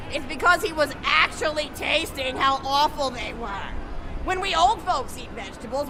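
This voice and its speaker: An eccentric old granny from a video game, high-voiced and swooping up and down as she rambles. She is folksy, tough and sweet-toothed, with music playing behind her. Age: older. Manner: rambling, folksy, animated storytelling. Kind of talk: video game character monologue.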